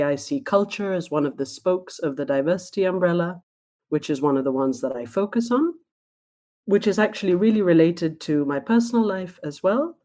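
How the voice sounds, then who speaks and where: A woman with a low, soft and mellow voice, talking as a guest on a podcast. She speaks in a thoughtful, reflective way and takes her time to answer. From born in Sweden, raised in Spain. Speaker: guest, diversity trainer. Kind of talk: podcast interview conversation.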